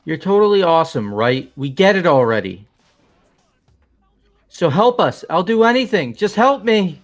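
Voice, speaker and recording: Man as male student game character, highish voice, animated, panicked, cowardly, pleading. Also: normal speed, soft background music. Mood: desperate, pleading. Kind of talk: fan voice-over of a video game.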